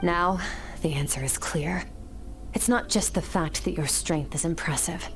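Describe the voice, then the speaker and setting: An actress playing a calm, strategic, aloof woman warrior in a fantasy video game, speaking in a measured, deliberate way. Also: on the low side, a little hiss or hum, close to an everyday voice. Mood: explaining calmly. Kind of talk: scripted video game voice acting. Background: game music.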